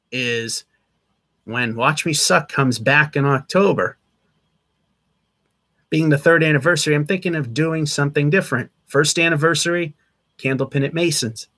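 A man's voice: fairly high pitch, lively intonation, measured pace, long pauses, bright, forceful tone. A man in his thirties giving a casual, rambling vlog update from a small attic room, recorded on a webcam at desk distance. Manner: rambling, chatty, with fillers and restarts. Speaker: channel host. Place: small attic room with sloped wood-paneled ceiling.

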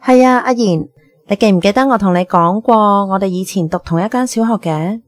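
An actress with a Hong Kong Cantonese accent playing a gentle, caring young best friend in a radio drama. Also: female voice, mid-range voice, a little fast, close to an everyday voice. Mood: gentle, reassuring.

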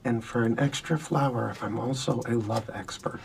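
A man playing a naive, cheerful, affectionate little snowman in an animated film. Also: mid-range voice, normal speed, vocal fry, a little hiss or hum, natural voice, low-quality recording.

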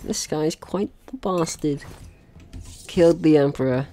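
A man's voice: a little high, measured pace, dark tone. A man doing casual let's play commentary, with playful asides and game text read aloud. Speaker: gaming video host.

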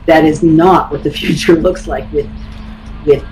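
A woman's voice: low-pitched, fairly even intonation, measured pace, background noise. A woman talking casually and giving her opinion in loose, conversational phrases.

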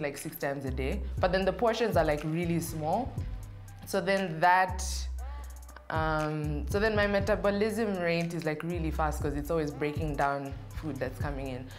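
A young woman, answering interview questions in a chatty, playful way in an indoor studio. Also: sits low. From Zambia. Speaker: interview guest. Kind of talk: casual Q&A interview.

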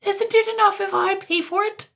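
A woman's voice: very high voice, steady tone, normal speed, creaky, a bit bright, voice pushed up, heavily acted. A woman voicing a tiny, hot-tempered male mannikin from a storybook, snapping out haughty commands. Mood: irritated, shrill. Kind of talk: storybook character voice.

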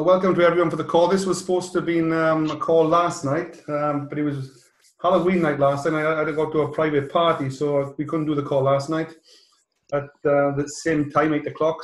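A middle-aged British man hosting an online call, introducing things informally in a rambling, halting way. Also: a little high. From South Wales, UK. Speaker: host of an online presentation call. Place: small indoor office room, on a video call. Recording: roomy, echoey video call.

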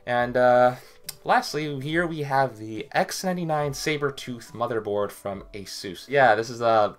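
A man's voice: lowish voice, a bit bright. A young man talking casually to his webcam in a small bedroom, a little sick with a cough, rambling with many fillers as he walks through computer parts. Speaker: tech vlogger. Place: small bedroom with hardware boxes. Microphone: webcam at desk.

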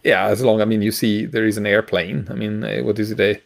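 A middle-aged man co-hosting a casual news discussion over a video call, speaking haltingly with frequent pauses. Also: fairly low pitch, steady tone, vocal fry. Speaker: podcast co-host. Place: home room, indoors.

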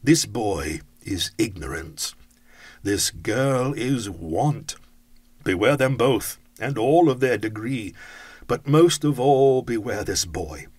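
A man voicing a sorrowful, aging male Christmas spirit in a storybook reading, giving grave, booming warnings. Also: expressive, slow, a little mellow, slightly rough, no echo. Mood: solemn.

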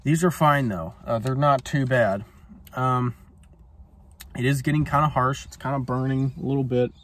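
A man's voice: medium pitch, normal speed. A man in his twenties casually reviewing a cigar while smoking it in a parked car, rambling with lots of ums, recorded on a phone camera. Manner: laid-back, rambling, many ums and uhs. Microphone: phone camera on dashboard.

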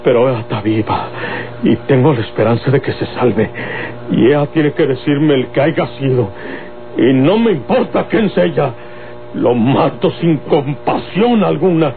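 A Mexican man playing a gruff, vengeful older rural boss, folksy and emphatic, in an old radio serial. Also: medium pitch, lively intonation, moderate pace, close to an everyday voice. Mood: vengeful fury. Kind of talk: Mexican golden-age radio serial acting. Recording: tinny old radio recording, music behind.

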